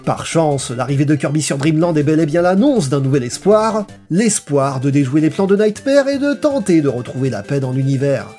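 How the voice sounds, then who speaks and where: Guy doing video essay narration, high voice, very lively and playful, music behind. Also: normal speed, hardly any pauses. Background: background music.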